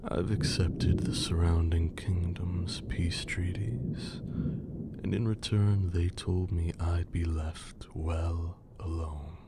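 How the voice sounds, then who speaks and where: A man playing a haughty, menacing, teasing vampire lord in an ASMR roleplay, with a theatrical drawl that often sinks toward a breathy whisper. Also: low voice, little pitch movement, takes it slowly, very noisy. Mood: stern, imperious. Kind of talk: ASMR roleplay, scripted character acting.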